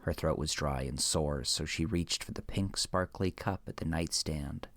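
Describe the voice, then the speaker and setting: A man narrating a horror story in a dramatic, hushed and deliberate manner with an ominous tone. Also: rumbling low.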